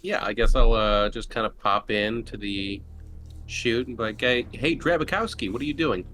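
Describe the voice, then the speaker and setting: A man's voice: on the low side, normal speed, sharp tone. A man chatting casually as a player in a tabletop roleplaying game, describing what his character does.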